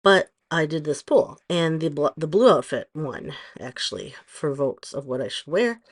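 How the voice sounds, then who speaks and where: A middle-aged woman with a hoarse voice, chatting casually through a nail tutorial and rambling with frequent pauses and ums. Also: low-pitched, animated. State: hoarse from allergies. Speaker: nail stylist vlogger.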